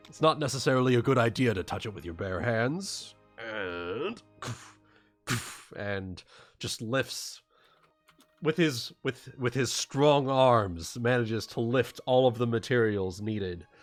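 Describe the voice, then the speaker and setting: Slow, halting male game master. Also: mid-range voice, animated, faint music behind. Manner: halting, searching for words. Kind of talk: improvised tabletop game narration.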